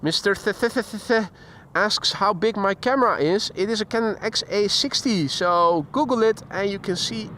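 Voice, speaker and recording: A man with a fairly high voice talks casually through a live stream outdoors, greeting viewers by name and chatting between topics. A faint outdoor hum sits behind him, picked up by a camera-mounted mic. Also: normal speed, few pauses, sharp tone. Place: outdoors near an airport. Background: faint outdoor traffic and voices.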